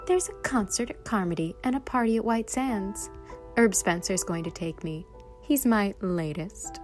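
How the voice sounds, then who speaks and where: Coquettish young woman, played by an actress: mid-pitched, boastful, gushing, audiobook drama with light music. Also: normal speed, close to an everyday voice. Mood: gleeful, confiding. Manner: gushing, triumphant. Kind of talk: dramatized audiobook character acting.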